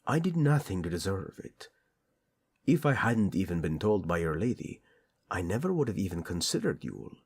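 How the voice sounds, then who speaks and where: A man playing a doting, teasing tyrant prince in a romantic audio roleplay, flirtatious and tender with a husky delivery. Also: low-pitched, big pitch swings, normal speed, darkish tone, exaggerated voice. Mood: grateful, earnest.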